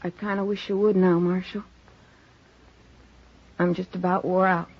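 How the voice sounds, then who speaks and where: A weary frontier widow in a radio western, husky and plain-spoken. She talks with stoic country bluntness through a muffled old recording. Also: fairly low pitch, flat delivery, measured pace. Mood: bitter, exhausted. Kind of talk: 1950s radio western acting.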